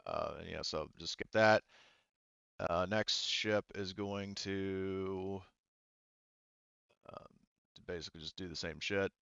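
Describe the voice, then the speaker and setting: A man's voice: deep voice, fairly even intonation, slow pace, gravelly, pauses often, fairly bright tone, smooth, no room sound, muffled recording. A man running a tabletop game as game master, talking casually and hesitantly as he thinks through and explains the rules.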